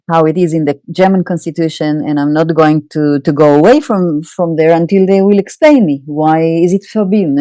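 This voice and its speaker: Low, mellow Israeli-accented woman. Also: no echo. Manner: halting, many "uh"s and restarts, wry laughs. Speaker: interview guest, psychoanalyst. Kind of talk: candid storytelling in an interview.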